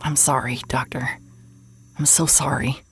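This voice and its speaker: An actress playing a weary, guarded woman in an audio drama, speaking in a hushed, breathy, halting way. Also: a bass voice, fairly even intonation, soft background music. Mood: hesitant, sorrowful. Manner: hesitant, subdued, halting. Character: weary, guarded, apologetic woman, quietly grieving.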